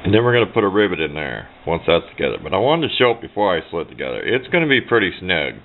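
A man's voice: low voice, big pitch swings, quick pace. A man giving casual hands-on commentary at a workbench, talking in a folksy, rambling way. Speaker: hobbyist radio video maker. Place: indoor workbench. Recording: tinny, band-limited camera mic with noise.

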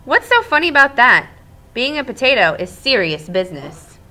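A cheerful, chatty little egg fellow who enjoys his quiet time, voiced by a woman reading a storybook aloud. The voice sits high and bright, swinging up and down with lively storybook energy. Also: normal speed, slight background noise, full character voice. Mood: fretting. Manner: bouncy, expressive storytelling.

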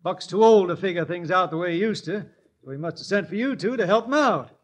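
An actor playing a folksy frontier clerk with a drawl in a 1950s radio drama. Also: male voice, sits high, lively intonation, fast talker. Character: Ed Mason, folksy old-west clerk man. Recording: noisy old radio broadcast.

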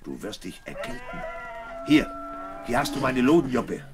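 An actor playing a hearty, gruff, teasing farmer uncle in a German children's radio play. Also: male voice, mid-range voice, huge pitch swings, normal speed. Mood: teasing, kindly. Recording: 1970s record with noisy farmyard sound effects.

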